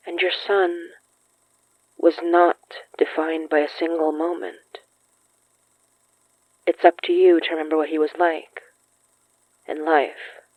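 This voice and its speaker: A young summoner of ghosts in a horror audio drama, heard through a narrow, phone-like radio filter. The delivery is slow, halting and earnest, with a gentle, reassuring manner and a dry, close sound. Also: female voice, deep voice, flat delivery, dry recording. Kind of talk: intimate audio drama acting. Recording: thin, phone-like band-limited sound.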